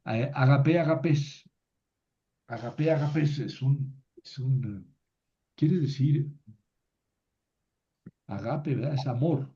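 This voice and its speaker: Slow, deliberate male teacher. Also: medium pitch, warm tone. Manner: recites word forms slowly and deliberately, thinks aloud. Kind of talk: slow classroom lesson on grammar. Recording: dull lo-fi recording.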